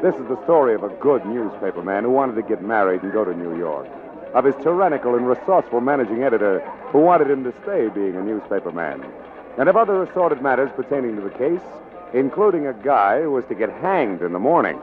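A man's voice: mid-range voice, big pitch swings, brisk. A man reading scripted, dryly humorous narration in 1940s radio drama style. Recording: narrow-band old radio recording, music behind.

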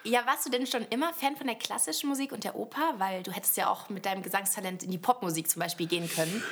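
A woman's voice: mid-range voice, fast talker, a bit bright, slight background noise. A woman hosting a podcast, asking casual interview questions. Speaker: podcast host.

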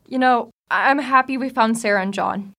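A woman playing an anxious, warm-hearted young survivor in a post-apocalyptic audio drama. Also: a little high, normal speed. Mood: tender.

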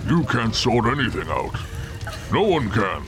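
Man playing a low, brooding, world-weary Santa Claus. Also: big pitch swings. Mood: bitter, sardonic. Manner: brooding, slow, taunting. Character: weary, bitter, drunken armed Santa Claus, centuries old. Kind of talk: audio drama acting. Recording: music and rain under the voice.

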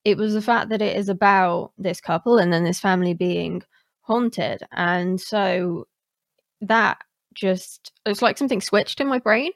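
A woman's voice: medium pitch, measured pace, vocal fry, dry recording, clean studio sound. A woman hosting a podcast interview in a casual, conversational way, with informal and emphatic phrasing.

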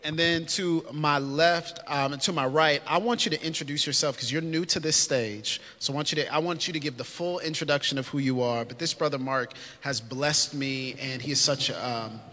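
A man's voice: a little high, moderate pace, few pauses, bright, forceful tone. A man moderating a live conference panel, in a preacherly way that rallies the audience. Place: conference hall stage. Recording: echoey hall, faint noise.

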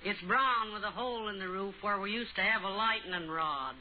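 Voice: mid-range voice, normal speed, lightly acted. A performer playing a folksy, complaining rural housewife with a plaintive, droll manner in an old radio comedy. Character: folksy, complaining rural woman with stomach misery. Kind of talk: 1940s-50s radio comedy acting. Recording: tinny, noisy old radio phone scene.

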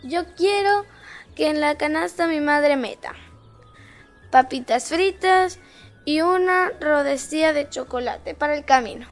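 A sassy young fairy-tale girl, acted by a woman who refuses to follow her story. She speaks in a high, defiant voice for a comic audio drama, with faint music behind. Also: a little slow. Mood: demanding.

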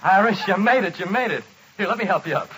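Fast, urgent temperamental songwriter. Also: male voice, high voice, animated, a bit bright, a little hiss or hum, rough recording. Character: impetuous, temperamental songwriter, a man. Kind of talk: old-time radio drama acting.

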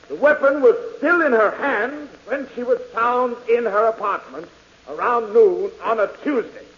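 An actor in an American 1940s radio drama playing a formal, declamatory defense lawyer in court. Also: male voice, extremely high pitch, fairly bright tone, full character voice. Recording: muffled, reverberant old radio recording.

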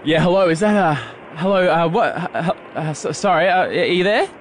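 A man with an Australian accent hosting a podcast in casual, comedic and slightly halting chat. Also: high voice, slight background noise. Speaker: podcast and radio host.

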